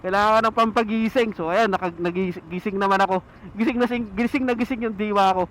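A man's voice: sits high, steady tone, a little fast, fairly bright tone, rough recording. A Filipino man chatting casually to himself as a motovlogger, rambling and repeating his words. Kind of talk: casual solo vlog chat while riding, with a cappella rap and singing. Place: outdoors on a moving motorcycle, inside a helmet. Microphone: action camera mic at the helmet. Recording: wind and vehicle noise inside helmet. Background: faint vehicle and road ambience.

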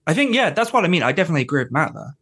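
A man's voice: fairly high pitch, big pitch swings, very fast, fairly bright tone, husky. A man chatting informally and agreeably as a guest on a podcast. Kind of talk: casual chat.

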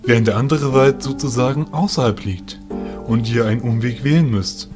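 A man's voice: mid-range voice, music in the background, lightly acted. A man acting a proud, courteous fairy soldier guide with a formal manner in a fantasy audio drama. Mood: proud, assured. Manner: measured, formal.

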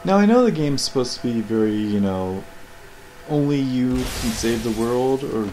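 Male game commentator, casual and sardonic, rambling, recorded over clearly audible game sound. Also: mid-range voice, lively intonation, normal speed, a little hiss or hum, low-quality recording. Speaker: commentator reviewing video game cutscenes. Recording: voice over loud game audio.

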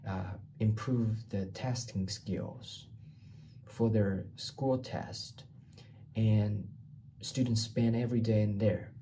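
A young man from Taiwan speaks slowly, hunting for words and circling back over phrases. His voice is low-toned and gravelly, with a mellow, dark color. He records through a webcam mic in a small bare room, with a faint hum behind him. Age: young adult. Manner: halting, searching for words, repeats phrases. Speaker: student. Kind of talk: class reading response video. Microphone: laptop webcam mic, framed from below.

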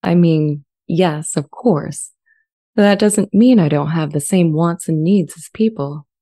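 Low, mellow female AI played by an actress. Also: heavily acted. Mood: earnest, measured. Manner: measured, articulate, earnest. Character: earnest, friendly, self-aware artificial intelligence language model. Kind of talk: scripted transcript dramatization. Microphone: studio condenser mic with pop filter, close.

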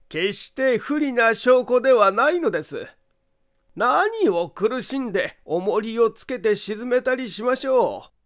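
A man voicing an eerie, amused detective who lays out his case deliberately in an audiobook mystery. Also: extremely high pitch, fairly bright tone, heavily acted. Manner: deliberate, theatrical, amused emphasis. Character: eerie chuckling amateur detective, calm, relentlessly accusing man. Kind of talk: dramatic audiobook mystery acting.

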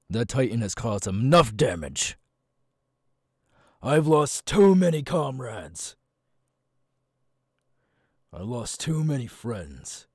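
A man playing a stoic, battle-hardened military captain with a husky, breathy voice in a dramatic ASMR audio roleplay, speaking in terse, deliberate phrases. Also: rumbling low, darkish tone, no echo, heavily acted. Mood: angry, vengeful. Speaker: voice actor, ASMR artist.

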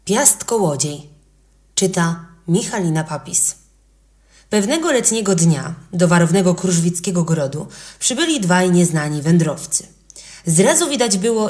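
A woman with a slightly low voice narrates an old legend for children. She delivers it as scripted audiobook storytelling. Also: a little mellow.

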